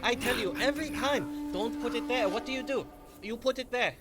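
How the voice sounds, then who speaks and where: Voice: mid-range voice, fairly quick, natural voice. An actor playing a grumbling, impatient alien deckhand, nagging in exasperation in an audio drama. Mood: exasperated. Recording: voice under background music.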